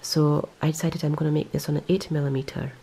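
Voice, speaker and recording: A woman's voice: low voice, level tone, quick pace, gravelly, dark tone, slightly rough. A woman talking casually over close-ups of her knitting, rambling and correcting herself with lots of ums. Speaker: knitting vlogger. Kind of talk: casual show-and-tell. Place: indoors, close-up on hands and yarn.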